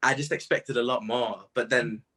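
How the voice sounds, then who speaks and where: A man in his twenties with a husky voice, a guest artist chatting casually and telling stories in animated slang. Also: mid-range voice, normal speed. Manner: animated slangy storytelling, quoting own reactions. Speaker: interview guest, music artist. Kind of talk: casual chat.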